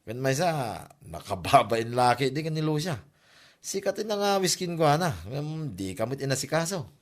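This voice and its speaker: A man's voice: fairly high pitch, lively intonation, normal speed, natural voice. A man with an Ilocano accent acting a teasing, scolding village buddy in a comedy audio drama. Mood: mocking amusement. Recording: clear background noise, ambience.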